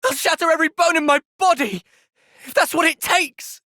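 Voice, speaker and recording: Earnest young British hero, very dry recording. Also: male voice, very high voice, normal speed, bright, forceful tone, no room sound. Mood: urgent shouting. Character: earnest young male soldier hero, thoughtful and resolute. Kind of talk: video game cutscene voice acting.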